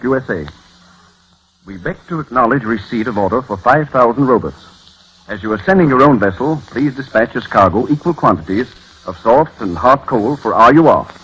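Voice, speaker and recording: Husky businesslike factory manager. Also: male voice, medium pitch, fairly bright tone. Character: businesslike general manager of a robot factory dictating letters. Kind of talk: vintage radio drama acting. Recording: dull old radio recording.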